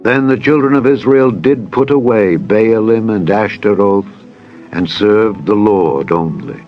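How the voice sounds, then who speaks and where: A man narrating scripture in a dramatized style, with a solemn, measured delivery. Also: fairly low pitch, highs cut off. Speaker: Bible narrator. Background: music.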